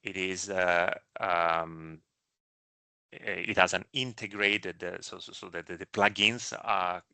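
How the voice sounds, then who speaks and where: A man speaking as a medical director in a live question-and-answer session, explaining in a measured, careful way with hesitations. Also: sits low, sharp tone, no room sound.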